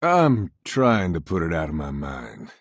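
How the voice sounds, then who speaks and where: Game gunslinger,, deep, laid-back, wry, lively intonation. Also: male voice, unhurried, dry recording, clean studio sound, full character voice. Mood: wry, easygoing. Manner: laid-back, folksy, drawling. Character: laconic gunslinger hero, wry and easygoing.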